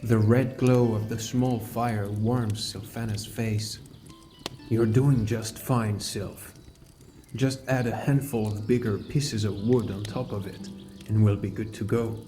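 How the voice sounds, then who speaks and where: Man as a mellow, low fantasy adventurer narrating. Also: steady tone, slow pace, soft background music. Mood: calm, caring. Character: male fantasy adventurer, calm, caring mentor. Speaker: narrator and lead character. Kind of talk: scripted first-person audiobook narration.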